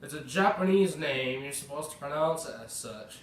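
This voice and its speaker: A slightly sick American man chats loosely through his gameplay, correcting himself as he goes. His voice sits a touch high for a man and carries the echo of a bare room. He is a little away from the mic, with faint music underneath. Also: expressive, moderate pace, distant mic. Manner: chatty, rambling, corrects himself. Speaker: gaming video creator. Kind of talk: casual gameplay commentary.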